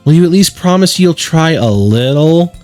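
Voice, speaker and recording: Man putting on a high, bubbly schoolgirl. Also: animated, moderate pace, a little mellow, faint music behind, close to an everyday voice. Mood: pleading, cheerful. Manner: bubbly, pleading. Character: carefree, sweetly nagging teenage schoolgirl, childhood friend. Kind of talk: visual novel character read-aloud.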